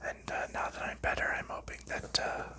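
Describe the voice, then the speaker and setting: A man hosting an ASMR video in a soft unvoiced whisper, calmly narrating each step as he packs up a board game, with relaxed fillers. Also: a little fast. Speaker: ASMR channel host.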